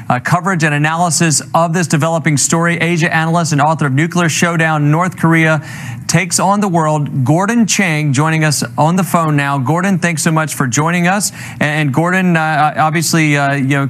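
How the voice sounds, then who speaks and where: A man anchoring a live breaking news report, speaking haltingly with many fillers and restarts. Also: fairly high pitch, a bit bright.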